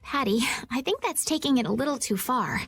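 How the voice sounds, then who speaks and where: A woman voicing a gentle, courteous, earnest young adventurer in a video game. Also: high voice, animated, fairly quick, breathy edge, heavily acted. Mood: gentle, concerned. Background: background music.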